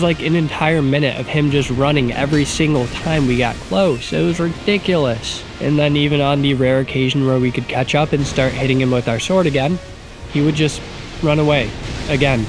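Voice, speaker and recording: A man of ordinary pitch talks through his video game run in a relaxed, chatty way, sounding nasal and stuffed up from an oncoming cold. He pokes fun at his own skill and gets openly exasperated at times, like a casual voice-over recorded at home. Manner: chatty, self-deprecating, exasperated asides. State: getting sick, congested. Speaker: gaming YouTuber. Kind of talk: casual gameplay commentary voice-over.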